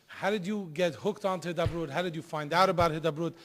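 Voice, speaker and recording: A man's voice: on the high side, fast talker, a bit bright. A man hosting a TV interview, asking several probing questions in a row. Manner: probing, asks stacked questions. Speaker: interview host.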